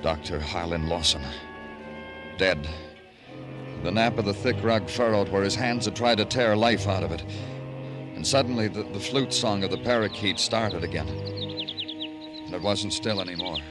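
A man acts a brooding big-city police detective in vintage radio noir. The voice is on the low side, moody and world-weary, with music behind it. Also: measured pace, long pauses, lightly acted. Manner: terse in talk, moody poetic narration. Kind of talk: 1940s-50s radio noir acting.

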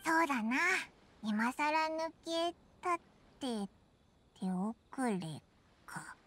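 An actress voicing a tiny childlike fairy companion in an anime game, squeaky and blunt, with boyish phrasing. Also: female voice, expressive, slow pace, fairly bright tone, heavily acted. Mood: resigned. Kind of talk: Japanese anime game voice acting. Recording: music clearly behind the voice.

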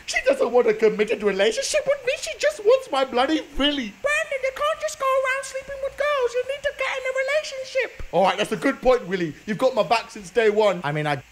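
A man's voice: very high voice, expressive, a little fast, hardly any pauses. A young man reading dating-app chats aloud in comedic commentary, jokey and mock-dramatic, acting both sides of the chat. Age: young adult. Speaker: dating-app video creator.

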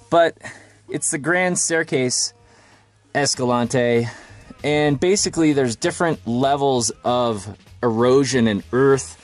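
Rambling man vlogging from his van. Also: a little high. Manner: rambling, upbeat, thinks aloud with fillers. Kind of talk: casual travel vlog. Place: inside a van and outdoors at a roadside overlook. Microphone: handheld camera at arm's length.

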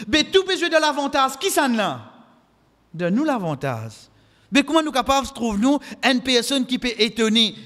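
A man's voice: very high voice, fairly bright tone. A middle-aged man with a Mauritian Creole accent preaching a sermon, exhorting with impassioned repetition and quoting Arabic. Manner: impassioned, exhortative, repeating phrases for emphasis. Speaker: preacher giving a Friday khutbah. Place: mosque, indoors at the pulpit. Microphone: microphone on a stand, close. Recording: echoey hall with long reverb.

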